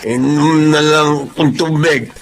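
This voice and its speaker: An actor playing a stubborn, ailing elderly father in a radio drama, speaking in a slow, labored, querulous way. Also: a little high, fairly even intonation, a little hiss or hum, low-quality recording. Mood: querulous, weary.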